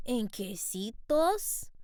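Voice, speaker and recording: A woman voicing a curious, homesick young boy with a bright, excited way of talking in a storybook reading. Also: a little high, very slow, dry recording, studio quality, voice pushed down, exaggerated voice. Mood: eager.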